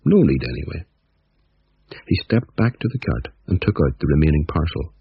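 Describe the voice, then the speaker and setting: A man with a low, gravelly, husky voice narrates a story gently and without hurry. The sound is dry and close, and slightly dull. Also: very animated, moderate pace, no room sound.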